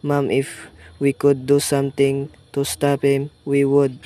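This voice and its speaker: Man acting a monotone, weary police sergeant. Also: mid-range voice, unhurried, lightly acted. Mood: weary, patronizing. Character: weary, dismissive male police desk sergeant. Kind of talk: radio play acting.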